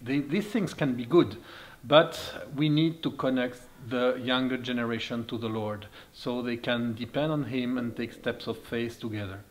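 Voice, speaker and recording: An earnest man from Switzerland in his forties, speaking as his in a steady, mid-pitched voice. He speaks as a youth ministry leader, sharing a testimony and encouragement. His phrasing is careful, with occasional turns. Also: moderate pace.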